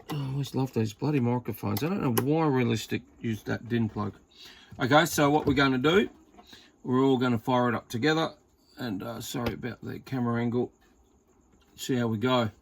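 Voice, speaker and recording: Casting: Australian male hobbyist, creaky mid-pitched voice, informal off-the-cuff unboxing chat over faint background noise. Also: low-quality recording. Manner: rambling, informal, thinking aloud with mild swearing. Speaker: hobbyist radio reviewer. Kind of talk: casual unboxing and test commentary.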